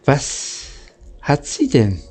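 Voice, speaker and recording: A man's voice: medium pitch, wildly swooping pitch, very slow, a little mellow, faint music behind, exaggerated voice. A man voicing a frightened, freezing young boy in a storybook, asking anxious, stammering questions.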